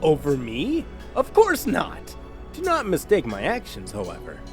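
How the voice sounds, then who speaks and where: An actor plays a proud, boastful god-king in an audio drama, formal and grandiose. His pitch swings in lively rises, and music plays clearly under him. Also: a little slow.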